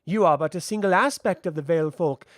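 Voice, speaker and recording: A man voicing an ancient elemental being in child form, calm and lofty, with formal archaic speech in a fantasy audiobook. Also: fairly high pitch, fast, dry recording, studio quality, natural voice. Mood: lofty, proud.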